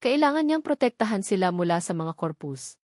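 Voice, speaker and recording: A woman's voice: medium pitch, sing-song, fairly quick, darkish tone, no room sound, clean studio sound. A woman narrator reading a scripted romantic drama in a solemn, dramatic storytelling manner.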